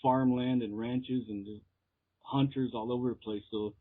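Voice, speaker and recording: A man from Texas with an American accent, chatting casually and haltingly as he is interviewed as a musician. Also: mid-range voice, steady tone. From Texas, United States. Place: remote call. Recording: muffled, tinny phone line.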